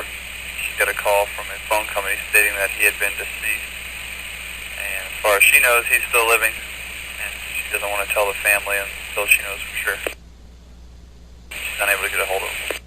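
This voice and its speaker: A man's voice: fairly low pitch, flat delivery, moderate pace. An American man working as a police radio dispatcher, matter-of-fact, giving clipped procedural calls over a scanner. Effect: police radio transmission. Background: loud radio noise.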